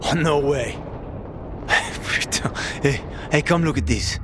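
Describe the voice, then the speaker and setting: An actor playing a gruff older male survivor and guide in a video game, wry, cocky and profane. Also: a little high, takes it slowly, background noise. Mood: wry, bantering. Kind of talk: video game dialogue acting.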